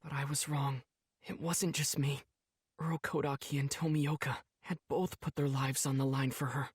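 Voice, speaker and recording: A man's voice: medium pitch, level tone, normal speed, breathy edge, no room sound, clean studio sound, lightly acted. A man playing an earnest, emotional teenage boy demon slayer, narrating reflectively in an anime game. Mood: moved, grateful. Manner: earnest, heartfelt, softly reflective.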